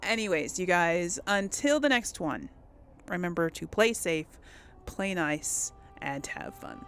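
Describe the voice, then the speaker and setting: A woman's voice: fairly low pitch, sing-song, slow pace, a bit bright, clean tone. A woman giving casual, rambling game commentary to viewers, thinking aloud as she plays. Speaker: gaming host.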